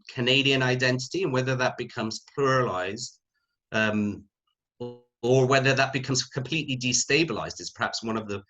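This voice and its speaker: A man's voice: medium pitch, a bit bright, poor audio. British male academic panelist, middle-aged. Unscripted, reflective delivery, feeling for words as he goes. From United Kingdom. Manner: reflective, halting, thinking aloud.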